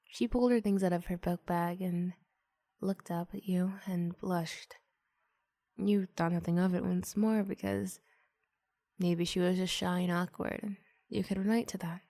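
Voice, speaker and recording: A woman narrates an ASMR story at a very slow, lingering pace. Her voice is dark and relaxed, spoken softly and often dropping close to a whisper. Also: lowish voice, no echo. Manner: soft, often near-whispered. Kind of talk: soft second-person ASMR story narration.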